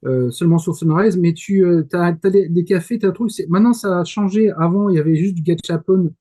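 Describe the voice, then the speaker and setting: A man with a fairly high, soft-edged and mellow voice. He talks casually on an anime panel as an on-location correspondent, halting as he goes but keen on his subject. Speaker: correspondent in Japan.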